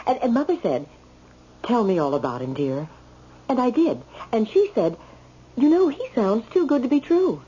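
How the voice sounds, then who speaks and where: Assured, affectionate American wife, lightly teasing, expressive range, dulled vintage radio sound. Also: female voice, on the high side, moderate pace, slightly rough. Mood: affectionate, admiring. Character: adoring, confident wife, affectionate and gently teasing. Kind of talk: radio drama acting. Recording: muffled, faintly noisy old radio.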